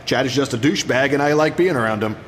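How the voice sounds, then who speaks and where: A man's voice: medium pitch, fast, lightly acted. A man playing a self-important yet casual Egyptian sun god in an improvised comedy skit, chatty and a little hesitant. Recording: noisy, reverberant room recording.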